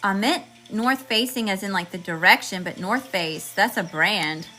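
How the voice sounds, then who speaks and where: Bright-voiced woman, voice going hoarse. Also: medium pitch, normal speed, faint music behind, rough recording. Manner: chatty and slangy, addresses viewers as y'all, lists card meanings in word runs. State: losing her voice. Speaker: tarot reader channeling messages. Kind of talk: casual spiritual reading to viewers.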